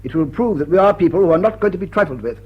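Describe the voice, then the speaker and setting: An actor playing a stiff, pompous English lord with a rough, husky voice and upper-class British accent in a period radio drama. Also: male voice, high-pitched, lively intonation, racing. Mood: stiff, firm. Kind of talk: period drawing-room radio drama acting. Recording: dull, muffled sound.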